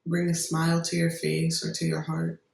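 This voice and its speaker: A meditation guide giving gentle, unhurried instructions with long pauses between phrases. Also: medium pitch, monotone, gravelly, a little hiss or hum. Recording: roomy echo, distant microphone.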